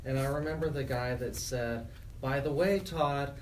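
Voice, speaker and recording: A man's voice: medium pitch, moderate pace. An American man chatting casually as the host of a radio interview. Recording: roomy, distant mic with faint noise.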